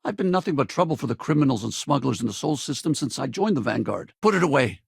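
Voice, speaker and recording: An actor playing a rugged, wry space pilot in a video game, gravelly and husky, talking in a casual, blunt way. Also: male voice, mid-range voice, lively intonation, fast, dry recording, studio quality, heavily acted. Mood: confident. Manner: casual, blunt, plain-spoken. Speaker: video game companion character. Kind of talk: scripted game dialogue and combat barks.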